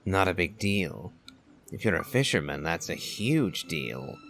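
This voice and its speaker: A man's voice: low voice, very animated, unhurried, vocal fry, fairly bright tone, a little hiss or hum. A man giving casual, musing commentary as he plays through a video game. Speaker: streamer playing and reacting to a game.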